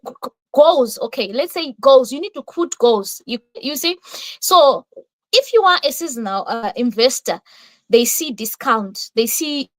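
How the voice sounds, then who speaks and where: A woman's voice: high-pitched, a bit bright, no echo, poor audio. A woman giving a motivational talk on investing, emphatic and repetitive, often asking rhetorical questions. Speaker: guest speaker.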